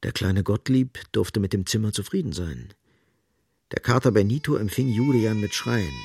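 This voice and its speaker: An actor as a grave, dramatic literary narrator in a radio play. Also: male voice, lowish voice, moderate pace, darkish tone, breathy edge. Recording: music underneath.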